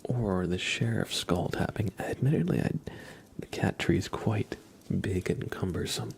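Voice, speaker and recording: A man hosting live ASMR, chatting softly and playfully in a breathy, often whispered voice. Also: low voice. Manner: playful, unhurried, talks to his cat. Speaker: livestream ASMR host.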